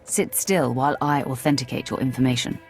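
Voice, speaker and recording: A female Sith warrior speaks in a low, commanding voice. She is cool, curt and imperious, in video game cutscene style, with faint music underneath. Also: fairly quick, darkish tone. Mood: cold, imperious.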